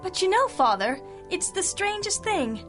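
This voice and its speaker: A woman playing an earnest, excitable working mother and parishioner, genteel and gushing, in a radio drama. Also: very high voice, unhurried, faint music behind, full character voice. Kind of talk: 1930s-style radio drama acting.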